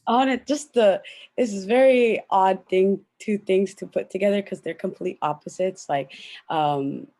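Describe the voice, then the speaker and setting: A woman's voice: mid-range voice, a little slow, warm tone. A young woman speaking thoughtfully on a discussion panel, with plenty of fillers. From United States.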